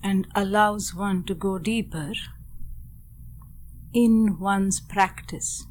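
Slow-paced woman guiding meditation. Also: mid-range voice, slight background noise. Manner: measured, deliberate, short phrases with long pauses. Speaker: meditation guide. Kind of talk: guided meditation narration.

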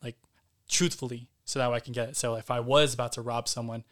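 Man with a flat, even voice. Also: medium pitch, fast. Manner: rambling, many fillers and restarts. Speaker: actor and podcast guest. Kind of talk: casual podcast chat.

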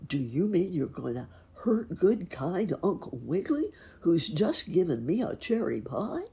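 A brave, kind storybook horse, acted by a woman, speaks up earnestly for his friends. His voice is raspy and lively, with drawn-out, emphatic words and a creaky edge. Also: lowish voice, voice pushed up, exaggerated voice. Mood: defiant. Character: kind, brave male horse, grateful and protective. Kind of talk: children's storybook character voice.

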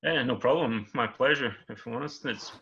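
Bright-voiced Scottish man on a dull line. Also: medium pitch, normal speed, creaky, slightly rough. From Scotland. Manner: relaxed, rambling, easygoing. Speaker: guest. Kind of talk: casual interview chat.

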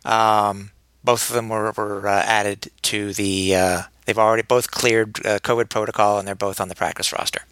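A man's voice: fairly low pitch, flat delivery, moderate pace, fairly bright tone, smooth, no echo. A man co-hosting a casual sports podcast, chatty and rambling, often hesitating with fillers as he updates team news. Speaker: podcast co-host.

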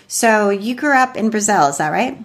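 A woman's voice: medium pitch, lively intonation, moderate pace. A woman hosting a casual interview, asking questions and responding in an informal, affirming way.

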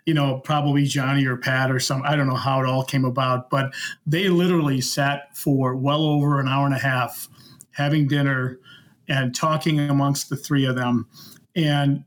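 A middle-aged man telling anecdotes in a casual, unhurried, conversational way, often retelling dialogue as he goes. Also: medium pitch, steady tone. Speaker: podcast guest, former concert security worker.

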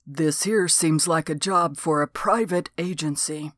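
Woman doing a gruff small-town lawman. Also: fairly high pitch, unhurried, fairly bright tone, dry recording, clean studio sound. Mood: exasperated. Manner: exasperated, folksy drawl.

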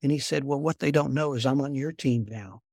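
An older man, a former intelligence officer, telling stories in a soft, unhurried voice as an interview guest. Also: mid-range voice, vocal fry, husky, dry recording, clean studio sound. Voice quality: soft-spoken. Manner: unhurried, repeats words when restarting. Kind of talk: conversational storytelling.

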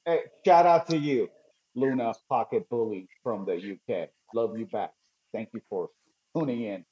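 Husky joking podcast co-host, man. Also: mid-range voice, normal speed, warm tone. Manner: joking, rambling, lots of "like" and restarts. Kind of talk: casual podcast chat. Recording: dull lo-fi mic sound.

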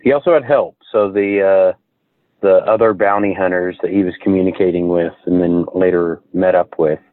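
A man's voice: sits low, fairly even intonation, moderate pace. A man taking part in a casual panel discussion, chatting as one of the guests over a remote-sounding line. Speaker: podcast panelist. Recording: tinny phone-like line, roomy echo.

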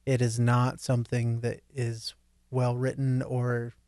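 Casual male co-host, medium pitch, dry. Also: completely flat delivery, measured pace, a little mellow, no echo. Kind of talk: casual chat.

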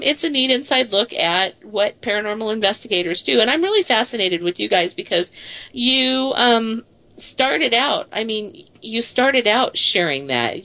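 A woman's voice: medium pitch, pushed and bright, no echo, low-quality recording. A woman in her late forties hosting a casual radio talk show, chatty and self-deprecating, laughing easily. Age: 40s.

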